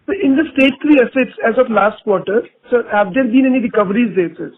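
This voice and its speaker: A man's voice: extremely high pitch, brisk. A man, a polite and deferential analyst asking questions on an earnings call. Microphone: phone line. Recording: tinny phone conference line.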